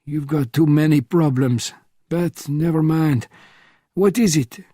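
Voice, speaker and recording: A man's voice: fairly high pitch, takes it slowly, dark tone, no room sound, pitched above the performer's own voice. A man voicing a shrewd, wry Frenchman in his seventies with a dry, urbane manner for an audiobook story. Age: 70s. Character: elderly wry Frenchman, shrewd old ally.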